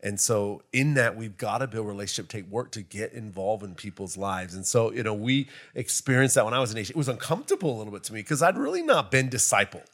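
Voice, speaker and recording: A man's voice: on the low side, lively intonation, fast talker, hardly any pauses, fairly bright tone. A middle-aged American man, a guest pastor, explaining earnestly in a casual interview. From United States. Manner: earnest, explanatory, many fillers.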